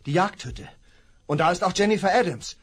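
A man's voice: high voice, expressive, brisk, slight background noise. An actor playing an eager young man who leads the chase with his dog in a 1970s radio play. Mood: urgent. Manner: eager, commanding.

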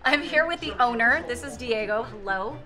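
A woman's voice: on the high side, animated, normal speed. A woman presenting a food show in a restaurant, chatting and asking questions with enthusiasm. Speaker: food show host interviewing. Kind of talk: lively on-camera chat and interview. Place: restaurant dining room and kitchen. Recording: loud music behind the voice.

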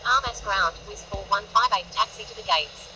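A woman's voice: medium pitch, moderate pace. A woman giving formal, procedural air traffic radio calls in clipped, scripted phraseology. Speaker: air traffic controller and pilot radio voice in a game. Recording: faint radio background noise.

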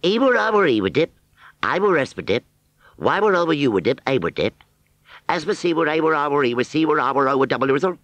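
A man's voice: medium pitch, very animated, a bit bright, dry recording, voice pushed up. A man voicing a simple, bumbling male scarecrow in a storybook reading, speaking in a rustic country dialect and stammering as he goes. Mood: puzzled. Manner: slow, hesitant, stammering.

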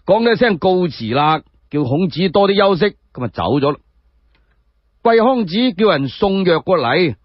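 A man narrates a dramatic tale with a Cantonese accent. His husky voice runs a little high and swings widely in pitch, in a dull recording. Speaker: storyteller. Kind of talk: dramatic solo storytelling narration. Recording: dull, echo-free muffled recording.

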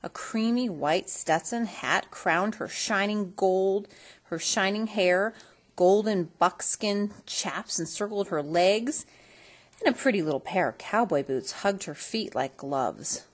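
A woman reading a children's story aloud in an expressive storytelling style. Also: medium pitch, takes it slowly. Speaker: storybook reader.

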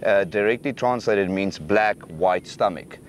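A man's voice: fairly low pitch, a little hiss or hum. A young South African man, a hunting guide whose first language is Afrikaans, talking outdoors in the bush with many uhs and restarts. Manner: halting, many fillers and restarts. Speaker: professional hunter guide. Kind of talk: outdoor hunt recap to camera. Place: outdoors in dry bushveld.

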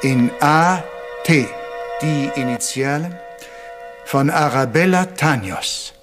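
Ceremonious little detective, higher-pitched voice. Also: male voice, music in the background. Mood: measured, revealing. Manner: formal, deliberate revelations sprinkled with French phrases. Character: shrewd, ceremonious little Belgian detective, theatrical and stern. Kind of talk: 1980s-style radio crime drama acting.

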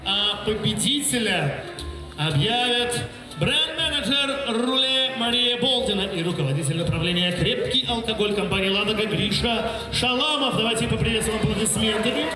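A man hosting an awards ceremony, announcing the next presenters loudly. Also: high voice, expressive. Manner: loud, drawn-out. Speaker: ceremony host. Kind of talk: stage announcement. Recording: echoey hall with music.

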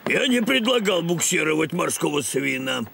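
Grandpa dog, old rival skipper, cartoon dub: gravelly, hugely animated pitch. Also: male voice, normal speed, a little hiss or hum, full character voice. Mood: gruff, hearty.

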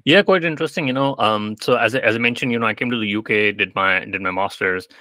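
Hesitant male podcast host, bright dry voice. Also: on the low side, fast talker, no room sound. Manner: hesitant, repeats words, "you know" fillers. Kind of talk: interview host questions. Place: indoors, home room. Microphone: video call webcam.

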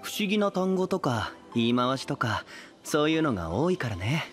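A male actor playing a serene, lofty young idol in an audio drama, gentle and composed. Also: medium pitch, sing-song, normal speed, soft background music, close to an everyday voice. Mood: serene, affectionate. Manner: gentle, composed, refers to himself by name.

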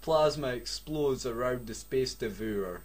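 A man's voice: mid-range voice, lively intonation, a little slow, slight background noise. A young Scottish man reading a badly written story aloud, mocking it with swearing and jokes. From Scotland. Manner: sarcastic, swears a lot, breaks off to joke. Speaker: reader.

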